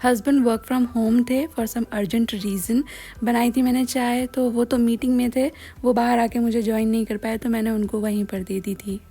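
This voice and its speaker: A woman's voice: on the high side, level tone, moderate pace, warm tone, husky. An Indian woman in her thirties talking casually to her viewers in a home vlog, candid and earnest, with a slightly sore, scratchy throat and English words mixed into her speech. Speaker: vlogger. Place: home kitchen.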